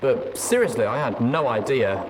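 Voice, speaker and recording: A man reading a story aloud as host, in expressive first-person narration. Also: mid-range voice, moderate pace, slight background noise, rough recording. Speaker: audiobook reader and show host.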